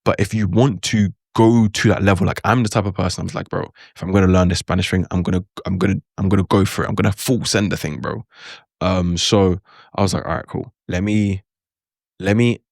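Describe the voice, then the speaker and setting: A young man talks to the camera in a casual, chatty way, acting out his own reactions as he goes. His voice sits low, and the recording is close and dry with no room sound. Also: gravelly, no echo. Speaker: language learning creator. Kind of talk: casual talking-head advice.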